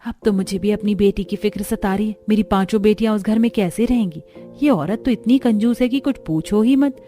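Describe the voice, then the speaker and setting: A woman's voice: medium pitch, fairly quick, dark tone, lightly acted. A woman voicing a poor, middle-aged mother in a cartoon story, earnest and fretful. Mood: worried. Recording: music under the voice.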